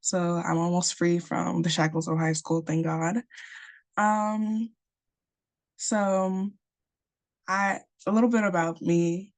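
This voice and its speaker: Teen girl panelist from Nigeria, low-ish even voice, chatty, video call. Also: slow pace, pauses often. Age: teens. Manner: casual, chatty, with fillers. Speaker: panelist, high school student. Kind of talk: personal story on a panel.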